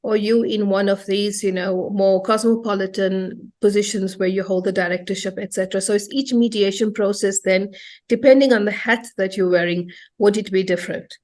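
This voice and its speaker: A woman's voice: mid-range voice, fairly even intonation, normal speed, a little mellow. A woman asking questions conversationally in a research interview.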